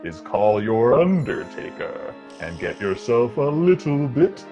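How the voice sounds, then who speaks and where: An actor playing a darkly joking, sinister horror host in theatrical old-time radio style. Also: male voice, mid-range voice, very animated, dark tone. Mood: ghoulishly jovial. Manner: theatrical, sinister, drawn-out delivery. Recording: music under the voice.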